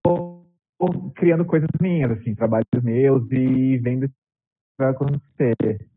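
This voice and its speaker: A man's voice: mid-range voice, unhurried, breathy edge, dry recording, soft background music. A young man, a dancer, chatting casually on a video call from a room at home, rambling with lots of fillers. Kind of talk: video call panel talk. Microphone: laptop mic on video call. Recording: tinny compressed call audio.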